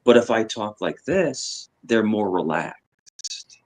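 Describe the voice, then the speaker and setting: A man's voice: on the low side, expressive. A man from New York with a Virginia accent, chatting casually as a guest, full of restarts. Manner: talks in quick bursts, restarts, shifts between two accents. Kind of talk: casual chat.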